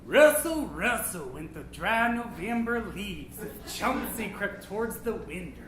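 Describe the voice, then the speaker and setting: A campy, absent-minded old vampire reading his comic tale with great pomp. The pitch is high and swoops dramatically from line to line. The delivery is melodramatic and vain, heard in a very echoey room. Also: sing-song, measured pace, exaggerated voice. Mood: grandly mysterious. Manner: melodramatic, pompous, campy, hushed then suddenly loud. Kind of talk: theatrical comic storybook reading to an audience. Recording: echoey room, noisy live recording.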